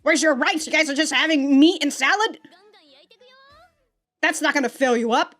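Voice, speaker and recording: High-voiced woman giving giggly reaction commentary. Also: moderate pace, fairly bright tone. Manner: slangy, swearing, exclamatory; giggly, laughs often. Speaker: reaction video host.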